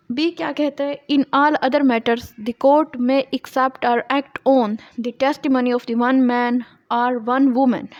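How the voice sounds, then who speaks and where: A woman with a Pakistani accent giving an academic law lecture, reading out provisions deliberately and explaining them as a teacher would. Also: a little high, no room sound. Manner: slow, deliberate English reading alternating with quicker Urdu explanation. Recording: muffled, dull lo-fi audio.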